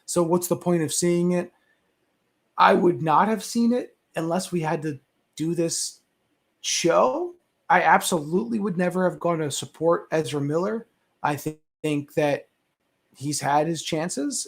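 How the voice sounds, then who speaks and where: A man's voice: fairly high pitch, unhurried, creaky. A man on a movie discussion panel, giving opinions casually and conversationally, often rephrasing himself as he goes.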